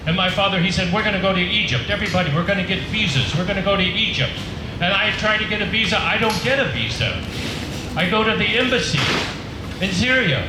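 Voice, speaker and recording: A man acting a stage monologue as a displaced Syrian-Palestinian dancer, telling his story in halting, repeated short phrases. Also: high-pitched, lively intonation, background noise. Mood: tense recounting. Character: displaced young Syrian-Palestinian male dancer recounting war. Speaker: actor performing a monologue. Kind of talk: theatrical first-person testimonial monologue. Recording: echoey live performance space with music. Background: music.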